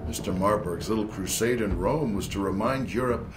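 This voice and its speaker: Guy doing a smooth calculating game villain, low voice, mock sympathy, echoey w loud music. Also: close to an everyday voice. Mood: smug. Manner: unhurried, mock-sympathetic, condescending. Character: smooth, calculating male corporate villain, coolly taunting. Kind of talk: video game cutscene acting. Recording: roomy echo, loud background music.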